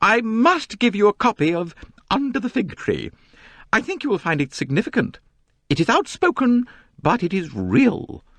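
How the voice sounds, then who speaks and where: A man voicing a pompous middle-aged woman novelist with a high, complaining voice. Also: sing-song, normal speed, fairly bright tone, no room sound, heavily acted. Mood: emphatic.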